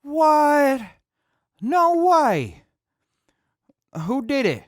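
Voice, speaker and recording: A man playing a drunk, sheepish, good-natured crewman in an audio drama, rambling haltingly with slurred speech. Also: extremely high pitch, sing-song, no room sound, studio quality, heavily acted. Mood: dazed.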